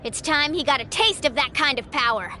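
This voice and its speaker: A woman's voice: high voice, animated, brisk, bright, forceful tone, soft background music. An actress playing a brave, spirited young woman warrior in an anime-style video game, earnest and bold. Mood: resolute.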